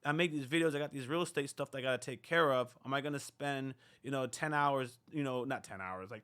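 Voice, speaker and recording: A man's voice: on the high side, pushed and bright. A man hosting a reaction channel, talking casually to viewers in a rambling, self-deprecating way with many fillers. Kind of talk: casual talk to camera.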